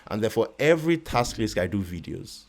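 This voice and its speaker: A man from Nigeria with a Nigerian accent and a very deep voice, talking as an interview guest in a measured way, with restarts and a slight stutter. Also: big pitch swings, gravelly. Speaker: guest, real estate investor. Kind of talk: casual interview conversation.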